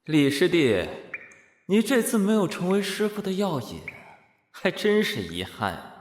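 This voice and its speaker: A man's voice: high voice, sing-song, unhurried. A man playing a haughty, cold Taoist senior disciple in an audio drama, scolding and sneering. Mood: mocking. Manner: arrogant, scolding. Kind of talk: Chinese audio drama acting. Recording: echoey cave-like hall reverb.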